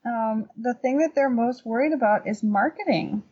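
A middle-aged woman with a fairly high voice that has a husky edge. She talks casually as an interview guest, animated and anecdotal, leaning on repetition for emphasis. The recording is a little dull and lo-fi. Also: moderate pace. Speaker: podcast guest, painter and workshop teacher.

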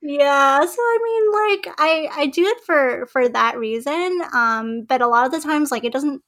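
A woman with a soft, babyish voice that sits a little high. She talks as a podcast guest, hesitant and full of fillers, restarting sentences and giggling often. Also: lively intonation, normal speed. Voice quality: soft, girlish voice. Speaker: podcast guest, web designer. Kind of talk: casual interview chat.

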